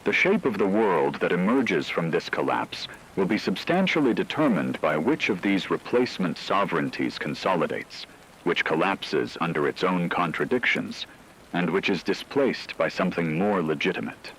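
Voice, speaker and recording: A low-pitched man acting as a grave radio newsreader delivers scripted bulletins on a fictional emergency broadcast. He is formal, measured and solemn, with a light bed of noise and rain behind him. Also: very animated, a bit bright, heavily acted, low-quality recording. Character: grave male radio newsreader reporting global collapse. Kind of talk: scripted news narration.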